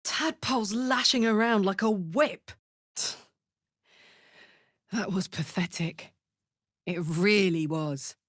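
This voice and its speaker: A woman's voice: medium pitch, takes it slowly, pauses often, no echo, clean studio sound. A woman voicing a brash, big-hearted, battle-hardened warrior woman in a video game, blunt and slangy. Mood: grim, tough. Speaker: video game character voice actor. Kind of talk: video game character voice lines.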